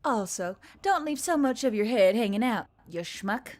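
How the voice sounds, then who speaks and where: A woman's voice: a little high, expressive, normal speed, darkish tone. A woman voicing a brash, mocking action heroine in a narrated story, with music behind her. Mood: annoyed, mocking. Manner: mocking, foul-mouthed, cocky. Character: brash, sarcastic, swaggering action heroine named Emily.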